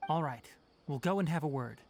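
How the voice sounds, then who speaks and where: A man playing a brisk young forest ranger in a video game, blunt, analytical and articulate. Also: on the high side, lively intonation, a little mellow, a little hiss or hum. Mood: skeptical, pointed. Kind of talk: video game character acting.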